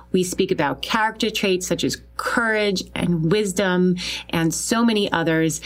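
A woman with a Long Island New York accent hosting a podcast in an enthusiastic, chatty way. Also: on the low side. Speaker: podcast host. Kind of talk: upbeat podcast introduction and interview.

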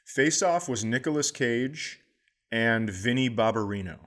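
A man's voice: medium pitch, expressive, measured pace, a bit bright. A middle-aged man hosting a comedy podcast, chatting casually and playfully, slipping into goofy voices for jokes.

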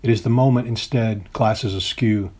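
A man reading his own poem in a deliberate, literary manner. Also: on the low side, fairly even intonation, a little mellow, slightly rough.

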